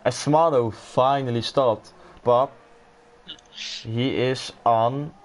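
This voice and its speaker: A man's voice: medium pitch, fairly even intonation, very slow. A man with a Dutch accent commentating a live sim racing event, exclaiming and laughing at close moves. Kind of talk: live esports race commentary.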